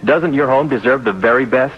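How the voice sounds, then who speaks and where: An American man with a husky voice reading a scripted radio advertisement. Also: mid-range voice, fairly quick. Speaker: commercial announcer. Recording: dull old radio commercial.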